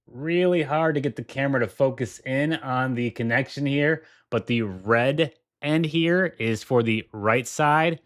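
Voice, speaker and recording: A man's voice: medium pitch, measured pace, few pauses. A man hosting a tech video, walking through a product demo with enthusiasm and repeating his points for emphasis. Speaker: tech reviewer.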